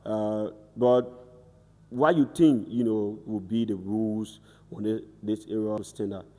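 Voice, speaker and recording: A man puts hesitant, rambling questions to his guest, padding them with fillers. His voice sits low and warm. He sounds off-mic, with a long hall reverb around him. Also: fairly even intonation, unhurried. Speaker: interviewer.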